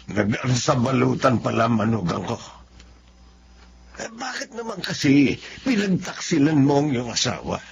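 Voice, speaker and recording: A man's voice: mid-range voice, huge pitch swings, moderate pace, gravelly. An actor playing a worried, reproachful elderly Filipino father in a radio drama, admonishing his grown son. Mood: stern reproach. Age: older. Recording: dull lo-fi radio recording, faint noise.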